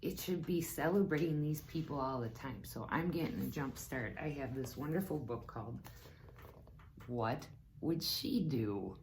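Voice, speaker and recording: A woman reading a book aloud to children as a teacher, slow and deliberate, with playful asides to her listeners. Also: a bass voice, animated, noisy background. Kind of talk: read-aloud book lesson.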